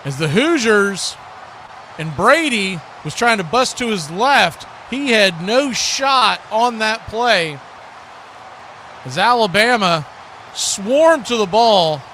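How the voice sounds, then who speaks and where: An American man with a high-pitched voice commentates a football game live. He calls the action in short, clipped phrases, as the host of a play-by-play stream. Clear ambient noise sits behind his voice. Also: big pitch swings, slow pace, pushed and bright. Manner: clipped present-tense calls.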